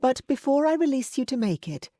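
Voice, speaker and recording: A woman's voice: sits high, big pitch swings, fairly quick, dark tone, dry recording, clean studio sound, pitched above the performer's own voice. A woman reading aloud voices a forthright middle-aged aunt, pointed and scolding in a raised voice. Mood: tart.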